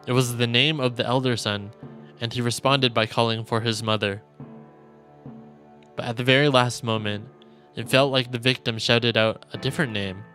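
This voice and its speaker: Measured male storyteller over game music. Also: medium pitch, a bit bright. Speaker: streamer reading a game aloud. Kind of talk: dramatic narration of visual novel text.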